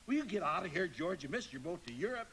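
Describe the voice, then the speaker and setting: An actor playing a breezy, excitable small-town uncle in a live radio play, exclaiming as he talks. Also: fairly high pitch, fast talker, low-quality recording. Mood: excited. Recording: live stage recording with hiss.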